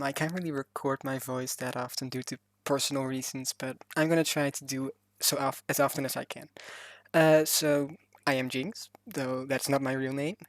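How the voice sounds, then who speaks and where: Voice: mid-range voice, no room sound. Nervous, giggly Dutch-accented narrator. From Netherlands. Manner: nervous, giggly, lots of fillers and restarts. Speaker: video game speedbuild narrator. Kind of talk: casual voiceover over gameplay.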